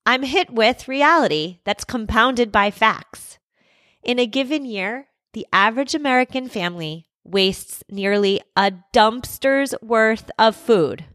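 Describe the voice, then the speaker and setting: A woman in her thirties hosting a podcast, hoarse and scratchy from a cold, speaking in a candid, conversational way with light self-mockery. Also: a little high, fairly bright tone, dry recording. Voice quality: scratchy, gruff. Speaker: podcast host. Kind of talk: scripted podcast monologue.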